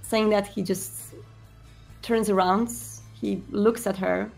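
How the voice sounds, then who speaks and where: Creaky-voiced woman narrating roleplay. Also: mid-range voice, steady tone, a little mellow, faint music behind, rough recording. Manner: halting, pausing mid-sentence. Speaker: roleplay game player narrating own character.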